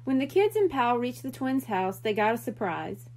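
Woman, high pitch, lively intonation, expressive children's story reader. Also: moderate pace, rough recording. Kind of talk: expressive read-aloud storytelling.

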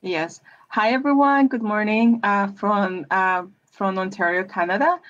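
A woman's voice: medium pitch, measured pace, a bit bright. A woman, a guest chatting over a video call, hesitant with many restarts. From El Salvador. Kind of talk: casual interview chat. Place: room with brick wall. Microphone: webcam on a video call. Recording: dull lo-fi sound.

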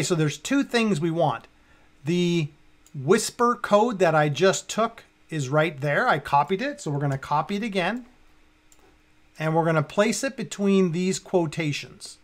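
A man in his fifties with a fairly high voice presents a software walkthrough. He speaks casually, talking himself through each step as he works on screen. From Canada. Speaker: tutorial presenter. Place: indoors, at a computer.

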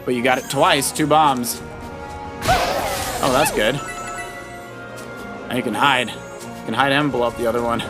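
A man's voice: mid-range voice, measured pace, pauses often. A man giving casual, coaching commentary on a video game as someone else plays. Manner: animated, coaching, addresses the player as buddy. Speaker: co-host of a family video game Let's Play. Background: video game music.

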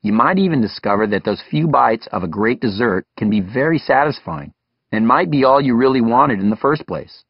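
A man's voice: mid-range voice, animated, normal speed, no room sound, dull audio. A man reading a scripted instructional audiobook as its author, giving direct, advisory guidance. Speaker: doctor and author reading his own audiobook.

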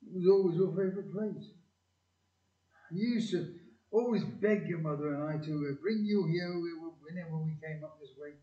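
Earnest, faltering dad for community audio drama, played by a man; higher-pitched voice, echoey room. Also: mellow, lightly acted. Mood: tender, earnest. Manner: hesitant, halting, earnest. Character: gruff, tender working-class father to a grown daughter. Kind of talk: amateur community audio play. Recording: distant, echoey, dull hall recording.

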